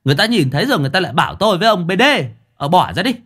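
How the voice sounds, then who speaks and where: A man doing a shrill, nagging master. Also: very animated, fairly bright tone. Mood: shrill exasperated. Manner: shrill nagging, rapid-fire scolding. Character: fussy, sharp-tongued male master, shrill and scolding.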